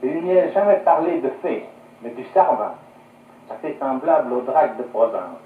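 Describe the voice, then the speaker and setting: A man narrating a scripted folk tale in 1950s radio style, fluent, expressive and literary, on an archive recording. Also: medium pitch, moderate pace, far from the mic, narrow, tinny audio, slight background noise. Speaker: storyteller. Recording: echoey, band-limited 1950s radio archive.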